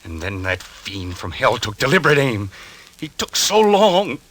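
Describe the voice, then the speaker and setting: An actor playing an agitated, haunted husband, indignant and obsessive, in a 1940s radio suspense style. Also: male voice, medium pitch, big pitch swings, measured pace, fairly bright tone. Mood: hysterical outrage. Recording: vintage radio drama recording.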